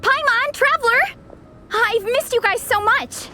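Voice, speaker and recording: A woman's voice: very high voice, unhurried, sharp tone, slight background noise, heavily acted. A woman voicing an upbeat, friendly young woman in a video game, bubbly and effusive. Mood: delighted. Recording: background music under dialogue.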